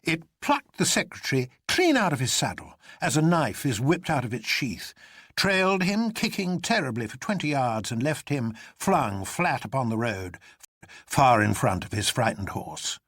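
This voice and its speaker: A man's voice: mid-range voice, sing-song, normal speed, a bit bright, husky, no room sound, clean studio sound. A man reading a literary adventure story aloud as a dramatic narrator. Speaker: audiobook narrator.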